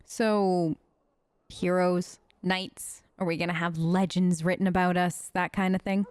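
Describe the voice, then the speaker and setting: A woman's voice: lowish voice, flat delivery, measured pace, a little mellow, smooth, no echo. A woman hosting a gaming video, casually role-playing her character's side of the dialogue in a chatty, conversational way.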